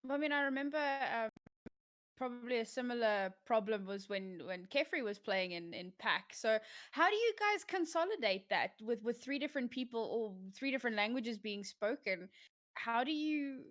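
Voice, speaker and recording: A woman's voice: on the high side, animated, normal speed, fairly bright tone. A woman hosting a casual esports podcast, talking conversationally with guests and laughing easily. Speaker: podcast host.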